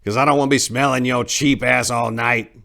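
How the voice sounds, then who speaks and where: A man voicing a mean, belligerent old gangster in a dramatic story reading, menacing and gruff as he barks out insults. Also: medium pitch, steady tone, normal speed, sharp tone, pitched above the performer's own voice. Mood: barking, scornful.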